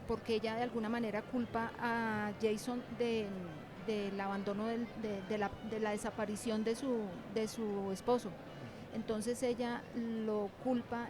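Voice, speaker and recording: A woman's voice: on the high side, little pitch movement, unhurried, noisy background. A woman teacher being interviewed, talking reflectively about her craft and repeating words and phrases for emphasis.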